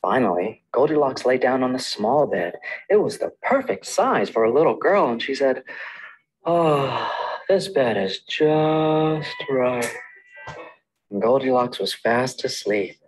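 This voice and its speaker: A man working as a children's librarian, telling fairy tales in a gentle, sing-song story time voice and speaking straight to the children. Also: mid-range voice, very animated, moderate pace, rough recording.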